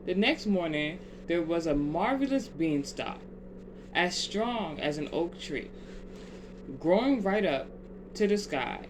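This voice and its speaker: Slow, deliberate bedtime storyteller over background noise. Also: medium pitch. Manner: deliberate, measured phrasing. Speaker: storyteller reading aloud. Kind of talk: scripted children's bedtime story narration. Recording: clear steady background noise.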